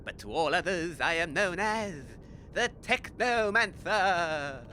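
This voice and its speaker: A man's voice: high-pitched, expressive, takes it slowly, pushed and bright, slight background noise, heavily acted. An actor playing an eccentric, self-important male techno-wizard in a comedy audio drama, with flowery, pompous manners. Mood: boastful.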